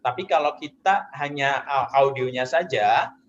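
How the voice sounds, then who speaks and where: A man's voice: mid-range voice, a little slow, a crowd in the background. Hesitant man, guest voice actor. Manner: hesitant, many fillers. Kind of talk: interview answers, explaining. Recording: dull lo-fi online audio, faint noise.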